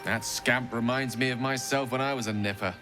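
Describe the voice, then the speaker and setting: An actor voicing a well-spoken middle-aged wizard in a video game, wistful and wry as a rambling storyteller. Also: male voice, mid-range voice, steady tone, brisk, music in the background, close to an everyday voice. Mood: fond, wry reminiscing. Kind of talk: video game dialogue acting.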